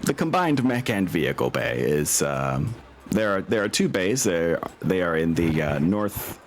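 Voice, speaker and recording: A man running a tabletop game as game master, explaining casually and often pausing to think. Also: sits low, sing-song. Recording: faint background noise.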